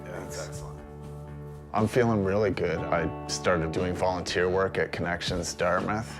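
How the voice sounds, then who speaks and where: Low, flat-voiced man in his forties. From Nova Scotia, Canada. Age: 40s. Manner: plain, measured, with hesitant fillers. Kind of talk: documentary interview. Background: background music.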